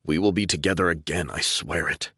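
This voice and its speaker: A man's voice: sits low, fairly quick, pushed and bright, breathy edge, no room sound, studio quality, exaggerated voice. A man voicing a determined young man who speaks earnestly and insistently, in an audiobook. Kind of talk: audiobook character voice.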